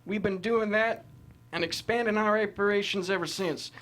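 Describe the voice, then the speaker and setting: An actor playing a proud, defiant Texas cattle rancher with blunt, folksy, cussing talk in a script table read. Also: male voice, high-pitched, fairly even intonation, normal speed, fairly bright tone. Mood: boastful, defiant.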